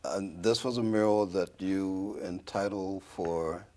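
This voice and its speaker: A man's voice: low-pitched, steady tone, slow pace, mellow. A man hosting an interview, putting questions and prompts to his guest in a conversational style. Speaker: interviewer, host.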